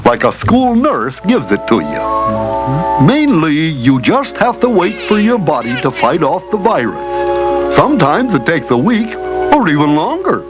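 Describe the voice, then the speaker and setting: An actor playing a folksy, emphatic health teacher in an educational cartoon, on an old film soundtrack. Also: male voice, a little high, huge pitch swings, measured pace, low-quality recording. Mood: earnest, advising. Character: animated friendly male health teacher, folksy and instructive. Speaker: explainer guide. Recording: old 16mm film soundtrack, loud music.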